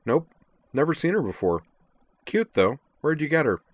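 A man's voice: on the high side, very animated, moderate pace, no room sound, voice pushed up. A man voicing a casual, slightly annoyed young woman in a fantasy audiobook, offhand and flippant. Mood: breezy.

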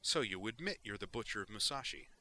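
A man's voice: fairly low pitch, animated, fast talker, bright, forceful tone, slight background noise. A man voicing a furious, venomous mayor woman.

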